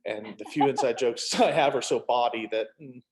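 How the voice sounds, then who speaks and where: Male author on a panel, mid-range voice, casual, halting, wry self-deprecating delivery. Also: medium pitch, background noise. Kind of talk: casual conversational interview.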